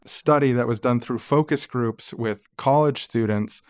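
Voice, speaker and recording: Clear, smooth male podcast co-host. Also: medium pitch, measured pace, no echo, clean studio sound. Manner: explanatory, informal. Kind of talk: conversational podcast discussion.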